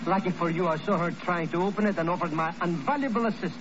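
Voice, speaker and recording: An actor playing a cowardly, grasping comic sidekick in 1950s radio drama, with a florid, wheedling, self-important manner. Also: male voice, high-pitched, quick pace, natural voice. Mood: smug. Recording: muffled, noisy old radio recording.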